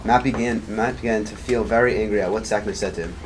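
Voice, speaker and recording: A man narrating a story in a quick, plain reading style. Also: fairly low pitch. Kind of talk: student narration read aloud. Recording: noisy amateur recording.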